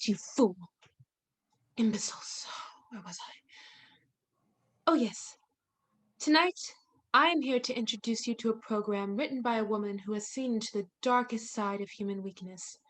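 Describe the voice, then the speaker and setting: A woman's voice: fairly high pitch, natural voice. A woman playing an eerie, imperious hostess introducing a 1940s radio thriller, theatrical and menacing. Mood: snappish.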